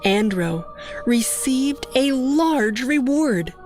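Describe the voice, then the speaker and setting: Slow-paced woman storyteller, higher voice. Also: expressive, darkish tone, faint music behind. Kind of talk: scripted narration of a moral story.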